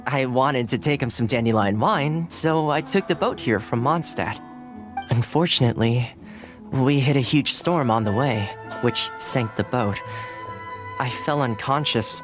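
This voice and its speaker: An actor playing a friendly, easygoing young male retainer in a video game, talking in a relaxed, chatty way. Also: medium pitch, natural voice. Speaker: game character.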